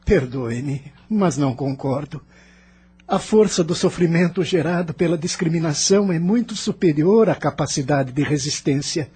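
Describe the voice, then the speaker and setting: A man's voice: fairly high pitch, expressive, normal speed, darkish tone, breathy edge. A Brazilian man acting a bitter, self-justifying spirit in the afterlife in an audio drama, defensive and questioning. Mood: aggrieved, protesting. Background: music.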